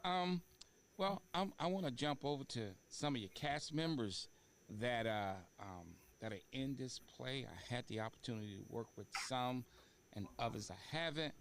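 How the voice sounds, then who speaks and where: A man hosting a talk show in a casual interview style, speaking haltingly and repeating words for emphasis. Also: medium pitch, animated, a bit bright. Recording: faint background noise.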